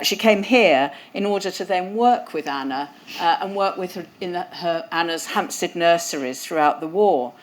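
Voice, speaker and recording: A woman hosting a museum event and introducing speakers, talking conversationally with some fillers into a lapel mic. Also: on the low side. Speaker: event host. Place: event hall.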